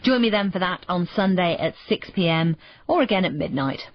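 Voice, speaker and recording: Deep-voiced female radio announcer. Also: lively intonation, fairly quick. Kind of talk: scripted continuity announcement. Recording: noisy lo-fi radio broadcast.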